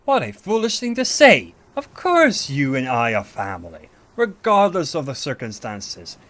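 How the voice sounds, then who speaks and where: A man's voice: medium pitch, huge pitch swings, measured pace, fairly bright tone. A man playing a grand, protective archangel in a fantasy audio drama, speaking in a formal, theatrical way. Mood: earnest. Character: grand male archangel, commanding, protective elder brother.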